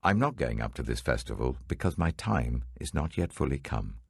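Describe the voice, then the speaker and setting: A man voicing a grave, calm, resolute holy teacher in a dramatic scripture reading, quiet and deliberate. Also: a bass voice, slightly rough, no room sound, pitched below the performer's own voice, full character voice.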